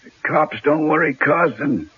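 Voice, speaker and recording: An actor playing a rough, blunt, slangy underworld tough with a raspy voice in a radio drama. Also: male voice, a little high, a little slow. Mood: blunt, wary. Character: rough street-tough male underworld informant and gangster. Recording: dull, lo-fi old radio recording.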